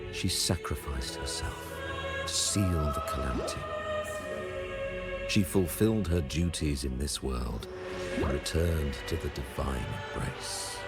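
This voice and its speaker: Solemn old high priest in a fantasy game, super deep slow voice, grand formal speech, music behind. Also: male voice, exaggerated voice. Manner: grave, formal, measured oratory. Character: solemn, stately high priest of a religious order. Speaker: video game character voice. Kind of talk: theatrical fantasy game dialogue.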